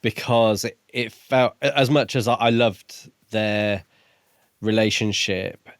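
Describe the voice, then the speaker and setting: A man co-hosting a podcast, talking casually about books in halting, thoughtful short phrases. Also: fairly low pitch, no echo, studio quality. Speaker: podcast co-host. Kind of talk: casual book discussion.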